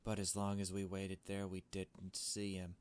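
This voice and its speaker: A man's voice: deep voice, monotone, moderate pace, clean tone. A man doing a let's play, reading a visual novel aloud and adding casual, blunt asides. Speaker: gaming video host.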